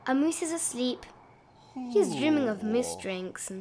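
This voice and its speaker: A woman narrating a rhyming children's bedtime picture book in an expressive, sing-song read-aloud style with drawn-out emphasis. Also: high voice, a crowd in the background. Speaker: storybook narrator.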